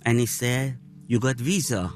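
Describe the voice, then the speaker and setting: An older man from Iran, speaking earnestly in an interview with slightly halting phrasing. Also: mid-range voice. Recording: faint music bed.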